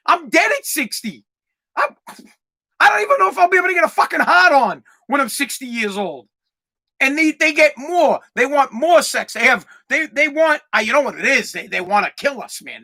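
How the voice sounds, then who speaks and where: A man in his forties hosting a comedic solo podcast, ranting in a profane, rambling way with lots of stammered restarts and bursts of shouting. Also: very high voice. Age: 40s. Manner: exaggerated, swings into loud shouting. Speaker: podcast host.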